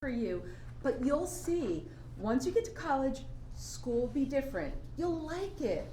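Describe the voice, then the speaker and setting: A woman's voice: high-pitched, sing-song, unhurried, warm tone. Staged play, roomy sound with some noise: actress as practical, fretful, insistent mother pushing her son, scolding. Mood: coaxing. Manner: insistent, chiding. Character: anxious, nagging, practical mother of a college-bound son. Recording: roomy live recording with background noise.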